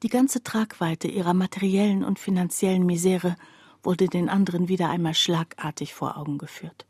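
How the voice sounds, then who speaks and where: A woman's voice: lowish voice, steady tone, normal speed, darkish tone, husky, no echo, studio quality. A woman narrating a literary radio drama monologue, confiding and precise, as someone wryly recounting a poor friend's troubles. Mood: grave, wry.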